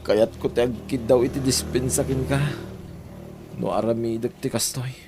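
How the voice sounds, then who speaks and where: A man's voice: medium pitch, steady tone, moderate pace, background noise, natural voice. A man acting a shy, hesitant and earnest young son in an audio drama. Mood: sorrowful. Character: shy, reluctant young son pining for his sweetheart. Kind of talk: Ilocano radio-style audio drama acting.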